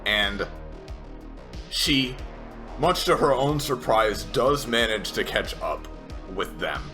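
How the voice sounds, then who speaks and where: A man playing a tabletop game, describing his character's actions slowly and haltingly, with restarts. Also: low voice. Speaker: player.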